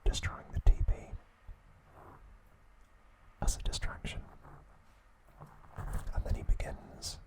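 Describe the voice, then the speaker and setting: A man whispers his way through ASMR narration at a very slow pace. He speaks deliberately, breaking sentences into short phrases with pauses between them. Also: poor audio. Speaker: ASMR host.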